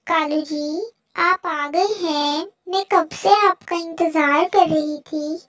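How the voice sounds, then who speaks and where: A woman's voice: sits high, steady tone, slow, a bit bright. A woman voicing a hungry, complaining female cartoon crow, a nagging and plaintive wife. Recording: muffled lo-fi cartoon audio with echo.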